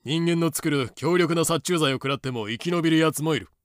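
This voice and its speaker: A man's voice: fairly high pitch, fairly quick, no echo, studio quality, lightly acted. A man voicing a confident, matter-of-fact cockroach, explaining in casual masculine phrasing for a fan-made voice drama. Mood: cool, matter-of-fact.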